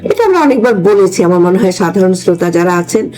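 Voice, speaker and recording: An older woman, a host and retired judge informally explaining law to an audience, often pausing to search for words. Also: on the low side, expressive, a little mellow. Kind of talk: informal legal discussion for an audience.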